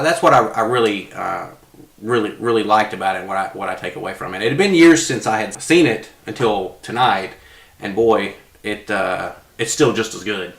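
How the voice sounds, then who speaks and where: A man's voice: on the low side, vocal fry, fairly bright tone. A man on a podcast panel, casually discussing film in a reflective way, repeating phrases for emphasis. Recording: roomy echo.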